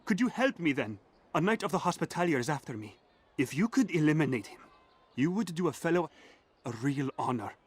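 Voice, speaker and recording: A man's voice: fairly high pitch, big pitch swings, fairly quick, slightly rough. A man voicing a panicked, pleading informant who fears for his life in a video game. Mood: anxious pleading. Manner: frantic, pleading.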